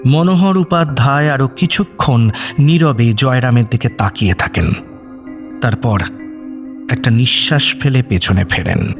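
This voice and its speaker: A man's voice: mid-range voice, unhurried, over music. A man reading dramatic scripted narration as the storyteller of an audio drama.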